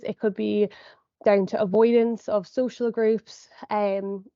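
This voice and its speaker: A young woman psychologist, a little sniffly as she gets over a cold, explains her points patiently over a video call. She hedges with "I suppose" and plenty of ums. Her voice sits at an average pitch and sounds dry and close, with slightly muffled audio. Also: warm tone, no room sound. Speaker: sport and exercise psychologist presenter. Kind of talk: online educational talk for parents.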